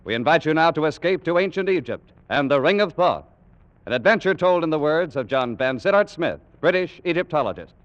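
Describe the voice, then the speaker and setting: A man announcing a 1940s radio show, reading a script in a formal, measured declamation. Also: on the high side, lively intonation, a little hiss or hum. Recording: muffled vintage radio recording with music.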